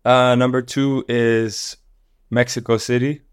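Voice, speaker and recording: A man who grew up in Tanzania, a guest on a podcast, talking in a relaxed, thoughtful way with many fillers and restarts. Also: mid-range voice, slow, smooth, no echo, studio quality. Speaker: guest, company co-founder. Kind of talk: casual podcast interview.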